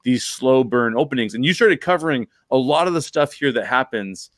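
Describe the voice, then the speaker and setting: American podcast host, dry close-miked sound. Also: male voice, mid-range voice, lively intonation, a little fast, no echo. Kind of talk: casual, animated podcast discussion. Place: bedroom at home, on a video call. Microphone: close desk microphone with headset.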